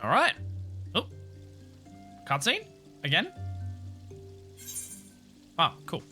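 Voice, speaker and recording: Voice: medium pitch, huge pitch swings, extremely slow, sharp tone, soft background music. A tired streamer chatting casually during a live playthrough of a fantasy game, rambling and often restarting words.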